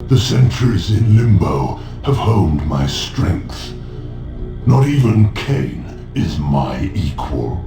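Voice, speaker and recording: A massive, arrogant vampire lord drags out each word with haughty menace. The booming, cavernously low voice echoes over music in a video game. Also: male voice, sing-song, gravelly, full character voice. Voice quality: booming, very deep. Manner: slow, haughty, ponderous. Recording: echoing chamber, music underneath.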